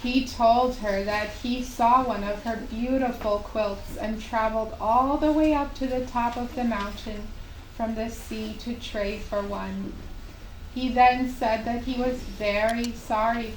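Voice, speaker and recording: A woman narrating a children's puppet show story with gentle, deliberate scripted phrasing. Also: fairly high pitch, fairly even intonation. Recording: echoey, distant mic, faint hiss.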